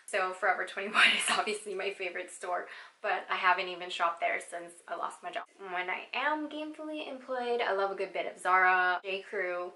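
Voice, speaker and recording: A woman's voice: medium pitch, normal speed, sharp tone, roomy sound, rough recording. A woman in her thirties from Southern California, chatting sassily and playfully through a get-to-know-me question video. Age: 30s. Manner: sassy, playful, exclamatory. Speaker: vlogger. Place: home, sitting down.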